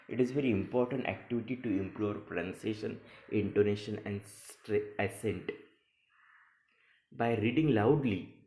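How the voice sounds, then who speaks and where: A man's voice: lowish voice, dark tone, slight background noise. A man giving an instructional English lesson, explaining didactically and restating key phrases as a teacher. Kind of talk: instructional lecture, reading and explaining an assignment. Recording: echoey room sound.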